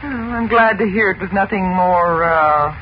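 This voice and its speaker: An actress playing an anxious, gentle mother who speaks hesitantly, in a 1940s radio drama. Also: mid-range voice, moderate pace, lightly acted. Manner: worried, hesitant. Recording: muffled old radio broadcast with loud hiss.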